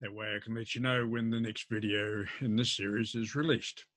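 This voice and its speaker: Older New man, low dry voice. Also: flat delivery, fairly bright tone, no echo. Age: older, around 70s. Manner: halting, hesitant delivery. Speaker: amateur radio vlogger. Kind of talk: informal explainer to camera. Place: indoors at a desk.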